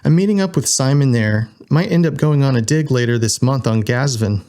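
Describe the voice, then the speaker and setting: A man's voice: medium pitch, brisk, a little mellow, lightly acted. A man voicing a breezy, evasive female scientist, a furry animal character in an audio story. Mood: playful, casual.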